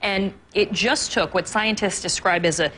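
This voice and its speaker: A woman's voice: mid-range voice, normal speed, fairly bright tone, a little hiss or hum. A woman presenting television news as an anchor, reading a scripted introduction to an interview.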